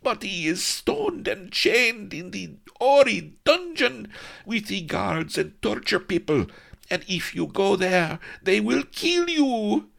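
A man's voice: a little high, expressive, slow pace, creaky, fairly bright tone, voice pushed up, full character voice. A man putting on a breathless young noblewoman with a Spanish-tinged accent. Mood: pleading. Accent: put-on Spanish-flavoured broken English. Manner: breathless, pleading, melodramatic.

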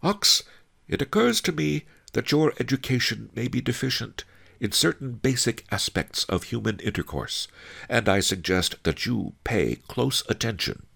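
A man's voice: mid-range voice, big pitch swings, moderate pace, creaky, a bit bright, husky, voice pushed up, full character voice. A man voicing a sly old master in a storybook, speaking sweetly and with mock-formal politeness. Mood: musing.

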